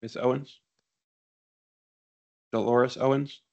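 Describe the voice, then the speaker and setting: A man's voice: mid-range voice, flat delivery, takes it slowly, a little mellow, muffled recording. A man chairing a public hearing, calling speakers with brief, polite procedural prompts. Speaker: board chair.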